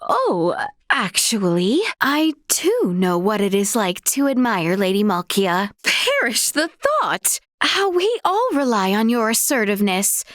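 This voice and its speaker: A woman voicing a gentle, earnest young royal woman in a video game, speaking in formal, old-fashioned courtly language with hesitant politeness. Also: a little high, no room sound, studio quality. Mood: admiring. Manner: formal, archaic courtly diction. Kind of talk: scripted video game character dialogue.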